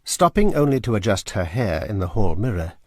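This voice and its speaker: A man narrating a period radio drama in a measured, grave storytelling manner. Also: fairly low pitch, very animated, a little mellow, no room sound.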